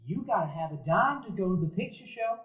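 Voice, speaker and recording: A woman's voice: mid-range voice, expressive, a little fast, lightly acted. A woman playing a bigger boy bully in a live radio play, sneering and taunting. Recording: echoey live theater hall.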